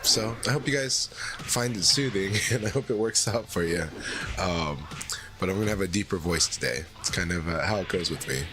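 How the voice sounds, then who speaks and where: Low-voiced man chatting on stream. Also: moderate pace. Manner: relaxed, rambling, many fillers. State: voice deeper than usual after secondhand smoke. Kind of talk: casual livestream chat.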